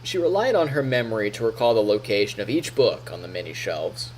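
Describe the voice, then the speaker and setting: A man's voice: on the low side, animated, fairly quick, darkish tone, a little hiss or hum, rough recording. A man streaming, reading narration from a visual novel aloud and adding casual comments. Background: game music.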